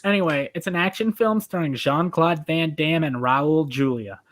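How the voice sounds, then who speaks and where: A man's voice: a little high, moderate pace, fairly bright tone. A man hosting a podcast, casually reading out background information. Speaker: podcast host and judge.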